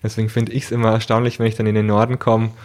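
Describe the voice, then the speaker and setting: Low-voiced man hosting a casual interview. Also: completely flat delivery, racing. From Nuremberg, southern Germany. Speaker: podcast host, interviewer.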